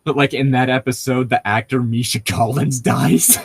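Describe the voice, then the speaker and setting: A man co-hosting a casual chat, rambling through stories in an excitable, profane and laughter-prone way. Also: mid-range voice, moderate pace, gravelly, breathy edge.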